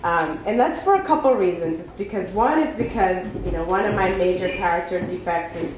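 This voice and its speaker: A woman from Ethiopia giving an unprepared personal testimony at a recovery meeting, halting and candid with many fillers and restarts. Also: lowish voice, noisy background. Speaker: speaker sharing her story at a recovery meeting. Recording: echoey room with faint ambience.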